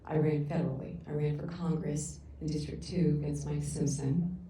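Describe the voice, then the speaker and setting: A middle-aged woman giving an earnest, halting public comment at a council meeting, heard through the room's sound system from afar. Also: low voice, steady tone, slight background noise. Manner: loud voice. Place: council chamber. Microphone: room sound system, picked up from afar. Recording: echoey council chamber from far off.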